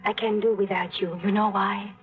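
A woman's voice: medium pitch, little pitch movement, normal speed, natural voice. Brief: a woman as a guarded young woman, formal and emotional, tinny old broadcast with music. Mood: upset. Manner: soft-spoken, emotional, formal phrasing. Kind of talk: 1940s radio drama acting.

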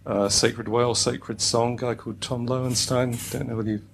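Gravelly guy in the audience rambling through a question, hesitant, noisy recording. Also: mid-range voice, little pitch movement, brisk. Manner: hesitant, discursive. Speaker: audience member asking a question.

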